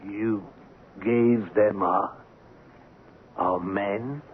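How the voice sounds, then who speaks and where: An actor in a radio drama playing an indignant, excitable colonial company man, with theatrical, formal, exclamatory delivery. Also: on the low side, drawn-out words, phone-quality sound. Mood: appalled, outraged. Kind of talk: old-time radio drama acting. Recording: thin, noisy vintage radio broadcast.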